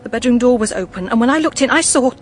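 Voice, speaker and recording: A woman's voice: fairly high pitch, expressive, fast talker, soft background music, natural voice. An actress playing a fragile, anxious young woman in a radio drama, speaking in a tremulous, hesitant way. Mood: frightened, shaken.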